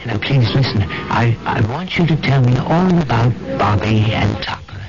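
An actor playing a patient, authoritative psychiatrist in a radio mystery drama, speaking deliberately and formally. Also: male voice, medium pitch, lively intonation, lightly acted. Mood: probing. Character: probing, authoritative male psychiatrist, patient and measured. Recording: dull radio recording with music behind.

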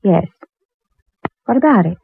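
Casting: coy, composed young female librarian, 1940s radio drama, slightly husky voice, phone-thin old recording. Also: medium pitch, animated, a little slow, heavily acted. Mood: coy, amused. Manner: composed, polite, gently teasing. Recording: tinny, narrow-band 1940s radio recording.

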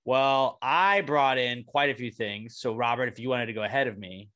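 A man's voice: mid-range voice, normal speed. A man, hosting a casual podcast chat and joking around. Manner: joking, animated, laughs often. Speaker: podcast host.

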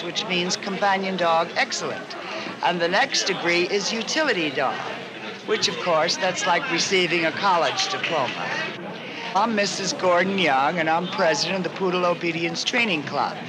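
A woman giving a formal explanation in a measured, precise way, as a club president. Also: fairly low pitch, crowd noise. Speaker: dog club president being interviewed. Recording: noisy old field recording.

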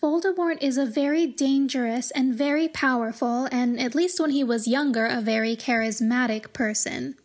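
High-pitched woman hosting a podcast. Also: moderate pace, hardly any pauses. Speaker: podcast host. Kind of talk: scripted commentary on a fantasy book series.

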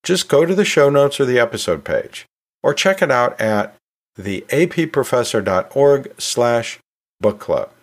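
A man's voice: medium pitch, big pitch swings, measured pace. A man hosting a podcast in a conversational, storytelling way, chatting informally with asides like a teacher talking to listeners. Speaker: podcast host; anatomy and physiology teacher.

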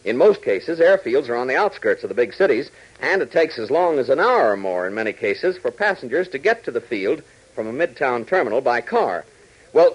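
A man's voice: a little high, breathy edge. An American man delivering scripted narration as a 1940s radio announcer. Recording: dull vintage 1940s radio recording.

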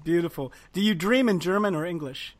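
A man's voice: sits high, expressive, a little fast. A man hosting a casual interview, speaking in an encouraging, affirming manner. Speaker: host.

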